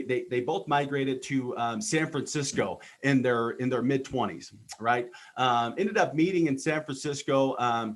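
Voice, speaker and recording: Podcast host, man in his 30s, from Texas, earnest and rambling, mid-pitched voice, lots of ums. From Texas, United States. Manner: earnest, lots of filler ums and repeated words. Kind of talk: motivational personal monologue.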